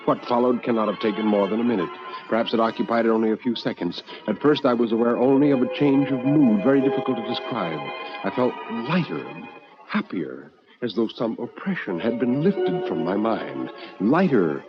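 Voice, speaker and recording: A man narrating a first-person story in a theatrical, measured and intense old radio drama style. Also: medium pitch, lively intonation. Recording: dull old radio recording with music.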